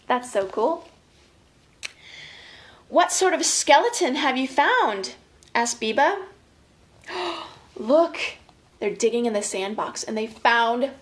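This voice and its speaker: An American woman leading children's story time as an educator, expressive and chatty, reading and giving instructions directly to young listeners. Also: high voice. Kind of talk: animated picture-book reading and casual guided instruction for young children.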